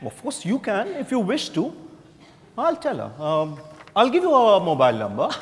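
An Indian-accented man acting an earnest, deliberate adviser in a staged play reading. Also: high-pitched, huge pitch swings, exaggerated voice. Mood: grave, confiding. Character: earnest male adviser plotting press strategy. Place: stage in a hotel ballroom. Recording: reverberant hall, distant stage pickup.

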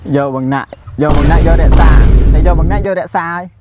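A man's voice: fairly high pitch, a little fast, loud music behind the voice. A young man chatting casually and jokily, as host of a prank-call video, outdoors in a yard. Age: young adult. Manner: jokey, laughs often. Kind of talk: casual chat on a phone call and to camera. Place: outdoors in a grassy rural yard. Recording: steady outdoor background noise.

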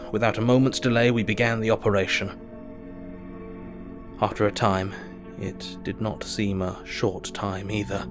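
A man acting an earnest asylum doctor who reads his diary aloud in an audio drama. The voice is low, solemn and measured, with clear music underneath. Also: lightly acted. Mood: tense, measured. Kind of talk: first-person dramatic audio narration.

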